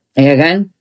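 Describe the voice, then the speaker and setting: A woman's voice: sits low, vocal fry, darkish tone, slightly rough. A woman with an eastern Indonesian accent giving casual, sarcastic gossip commentary, repeating phrases for mockery.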